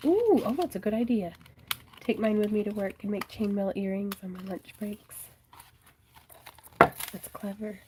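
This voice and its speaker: A woman's voice: mid-range voice, normal speed, pauses often. A woman hosts a craft livestream from home, chatting easily and reading out comments from viewers as she works. Her voice is congested and wearing thin from a sinus infection, with a faint hiss behind it. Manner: chatty, reads viewer comments aloud, frequent soft laughs. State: congested and losing her voice, coughing. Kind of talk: casual livestream chat while crafting.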